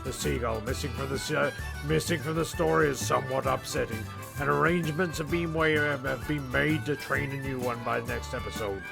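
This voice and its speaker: A man plays a dry storyteller in a comic castaway radio play. He rambles in a heavily creaky, mid-pitched voice, with music underneath. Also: normal speed.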